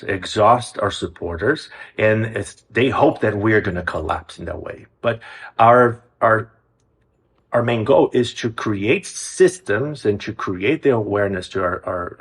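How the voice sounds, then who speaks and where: A man from Brazil, a music program's executive director, answering interview questions earnestly, often restarting his phrases. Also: fairly low pitch. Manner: earnest, with frequent restarts and hesitations.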